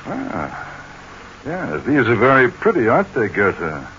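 An easygoing rich husband, lively pitch, genial, muffled vintage radio. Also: male voice, a little slow. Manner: genial, hearty questions. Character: cheerful wealthy American husband, easygoing. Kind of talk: 1940s radio acting. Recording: muffled old radio recording, faint hiss.

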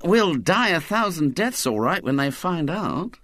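A man voicing a nervous, excitable schoolboy who anxiously throws out ideas. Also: on the high side, no echo, clean studio sound, pitched above the performer's own voice. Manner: anxious, quick to suggest.

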